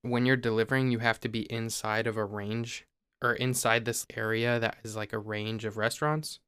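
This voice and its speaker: A young man from Ohio, host of a podcast, explaining things in a relaxed, casual way with small restarts. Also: fairly low pitch, moderate pace, clear, resonant tone, dry recording. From Ohio, USA.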